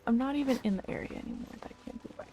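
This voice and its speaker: A woman's voice: fairly high pitch, very fast, mellow, dull audio. A woman streaming a game, casually muttering to herself as she works out a puzzle.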